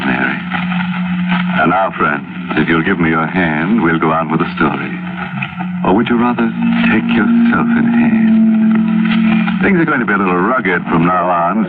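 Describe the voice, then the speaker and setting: A sardonic host of a vintage radio mystery, low-pitched and theatrically teasing. He speaks with mock courtesy. The recording is tinny and heavily covered in noise. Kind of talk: 1940s radio horror hosting.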